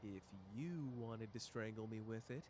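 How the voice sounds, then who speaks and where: A man's voice: lowish voice, unhurried, darkish tone. A man voicing a smug, playful young schemer who teases and drawls in an audio drama. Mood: teasing. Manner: teasing, sly, drawling. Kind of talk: ASMR roleplay audio drama.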